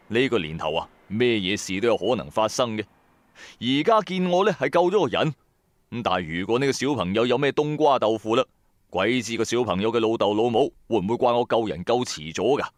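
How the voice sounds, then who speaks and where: A man with a Hong Kong Cantonese accent telling a first-person story in an expressive, colloquial way, with chatty asides to the listener. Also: fairly low pitch, fairly quick, no echo. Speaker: storyteller, narrator. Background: background music.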